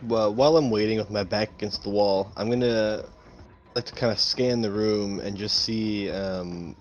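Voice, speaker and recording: A man's voice: lowish voice, moderate pace. A man playing a tabletop roleplaying game, casually describing what his character does. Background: background music.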